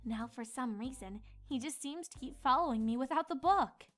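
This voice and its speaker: A woman's voice: high-pitched, expressive, normal speed, soft background music. A woman voicing an earnest, easily indignant young female cartoon unicorn in an insistent, bristling manner. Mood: explaining. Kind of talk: cartoon fan-fiction voice acting.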